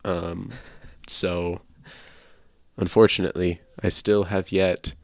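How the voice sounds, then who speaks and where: A man with a creaky voice co-hosting a casual video game podcast, chatting conversationally. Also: level tone, takes it slowly, a little mellow, dry recording, clean studio sound. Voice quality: low creaky voice. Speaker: podcast co-host. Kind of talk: casual podcast chat about video games.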